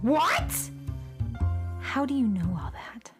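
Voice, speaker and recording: A woman's voice: high voice, huge pitch swings, over music, heavily acted. A woman playing a petulant, haughty troublemaker and obsessive fan in a children's space audio drama. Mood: grudgingly impressed. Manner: scornful, bratty, drawn-out emphatic delivery.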